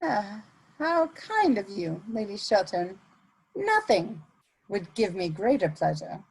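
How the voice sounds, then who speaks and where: A woman playing a sly, worldly Victorian society lady, arch and smoothly polite, in a dramatic comedy reading. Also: medium pitch, very animated, slow, full character voice, rough recording.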